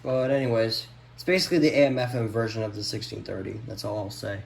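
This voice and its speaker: A man talking casually off the cuff in a halting, offhand way, showing items from a collection. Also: on the low side, steady tone, creaky. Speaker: vlogger showing a radio collection. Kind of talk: casual unscripted product show-and-tell. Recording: echoey room, background noise.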